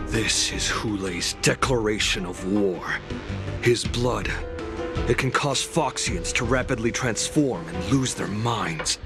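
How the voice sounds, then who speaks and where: A man playing a grave, earnest warrior in a video game, reporting in a serious, urgent way. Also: lowish voice. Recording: music under the voice.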